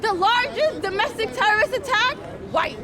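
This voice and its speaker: A woman's voice: extremely high pitch, expressive, normal speed. A young woman protester arguing in a heated street debate outdoors, assertive and lecturing. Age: young adult. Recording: loud crowd outdoors. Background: crowd and traffic.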